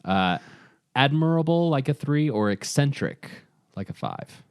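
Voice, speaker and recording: A man's voice: medium pitch, animated, a little slow, clear, resonant tone, no echo, clean studio sound. A man co-hosting a podcast in a casual debate, with a teasing, playfully competitive manner. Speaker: podcast co-host.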